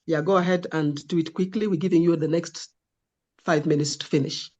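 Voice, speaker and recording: A woman's voice: sits low, steady tone, brisk, darkish tone. Woman moderating a class. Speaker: lecturer moderating student presentations. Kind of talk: online class moderation and commentary.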